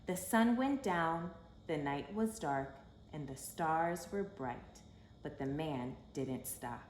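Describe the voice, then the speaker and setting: A woman with a lowish voice reads a children's storybook aloud. Her delivery is slow, expressive and dramatic, with lively swings of pitch. It is recorded in an echoey room. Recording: echoey room with faint background noise.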